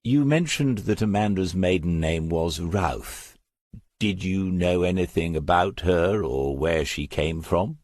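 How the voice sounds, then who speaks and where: A male narrator voices a wry, patient older detective in a crime audiobook. He sounds very deep and a little husky, with a probing manner. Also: a little slow, a little mellow, no echo, clean studio sound. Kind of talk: audiobook character dialogue.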